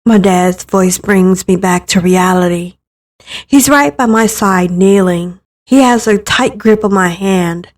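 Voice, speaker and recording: A woman's voice: medium pitch, measured pace. A woman reading scripted first-person narration for a dramatic visual novel story.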